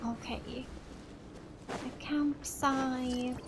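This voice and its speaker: Slow-paced, high-voiced woman gamer. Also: steady tone, background noise. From Finland. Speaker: gamer, let's play host. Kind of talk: casual gameplay commentary. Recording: faint game music behind the voice.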